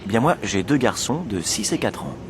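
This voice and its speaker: A man acting in scripted language-course dialogue as a polite, sociable businessman with clear delivery. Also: lowish voice, very animated, normal speed, background noise. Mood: friendly, curious. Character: polite, sociable businessman making small talk.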